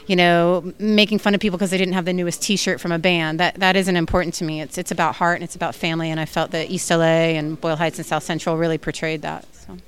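A woman's voice: fairly low pitch, little pitch movement, quick pace, hardly any pauses. A woman film director answering interview questions in an earnest, enthusiastic way, with frequent fillers.

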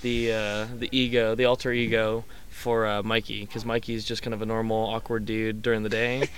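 A man's voice: lowish voice, level tone, moderate pace, a little hiss or hum. A man who drums in a punk band, answering interview questions in an easygoing, friendly way.

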